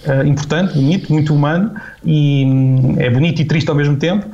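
A man's voice: a little high, fairly even intonation, brisk, dark tone, muffled recording. A man with a European Portuguese accent explains things conversationally as an interview guest, thoughtfully and often rephrasing mid-sentence. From Peniche, Portugal. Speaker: linguist and author, interview guest.

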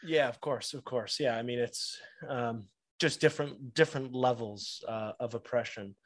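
A man hosting an interview, asking long, measured questions in an articulate way. His voice is mid-pitched. It is recorded dry and close, with no room echo.

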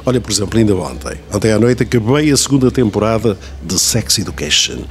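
A man's voice: fairly low pitch, big pitch swings, fast. Fussy old-school Portuguese radio man, noisy recording. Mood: chatty. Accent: European Portuguese. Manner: pedantic, nitpicking, digressive. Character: veteran male radio personality, fussy, easily offended grumbler. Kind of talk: comedic radio drama dialogue. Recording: clearly noisy, room ambience.

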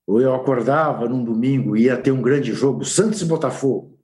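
Mellow older Brazilian pundit. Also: male voice, mid-range voice. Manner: unhurried, reminiscing storyteller. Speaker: sports journalist pundit. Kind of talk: casual panel chat.

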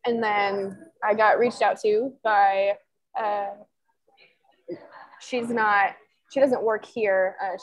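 A woman's voice: mid-range voice, dull audio. A woman in her twenties, a sports columnist, answering interview questions in a chatty, rambling way full of fillers. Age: 20s.

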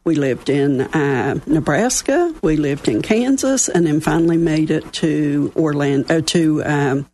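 A woman's voice: low-pitched, lively intonation, a little slow, few pauses, mellow. A woman in her sixties from West Virginia with a Southern accent, speaking as a guest in a radio studio interview with folksy, self-deprecating humor. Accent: Southern American. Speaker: radio show guest, chapter chair. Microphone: studio microphone.

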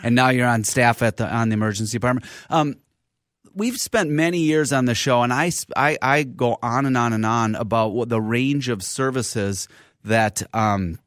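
A man's voice: medium pitch, normal speed, fairly bright tone, dry recording. A man hosting a radio health talk show in a dry, close studio sound. He is a physician who chats easily, piles on fillers and restarts, and teases his guests in a friendly way. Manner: chatty, friendly teasing, many fillers. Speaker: radio show host, internal medicine physician.

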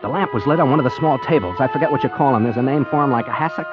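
An actor playing an easygoing, whimsical private detective, chatting and rambling wryly in a 1940s radio comedy-mystery. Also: male voice, medium pitch, racing, lightly acted. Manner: chatty, rambling, digressive, wry. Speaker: detective hero and narrator. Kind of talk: 1940s radio comedy-mystery acting and first-person narration. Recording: muffled 1940s radio recording with music.

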